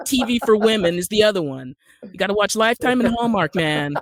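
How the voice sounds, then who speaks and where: A woman's voice: medium pitch, lively intonation, a little fast, no room sound, studio quality. Casting: American woman, podcast guest type, conversational, emphatic with wry humor, very little room sound. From Utah, United States. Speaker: guest actor and screenwriter. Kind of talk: casual podcast interview.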